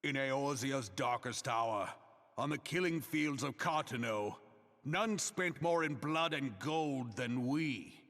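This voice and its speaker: A man's voice: medium pitch, slow pace, fairly bright tone. An actor playing a proud, solemn military statesman in a fantasy game, declaiming in grand, archaic language. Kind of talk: theatrical public oration in a fantasy game. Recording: long echoing hall reverb.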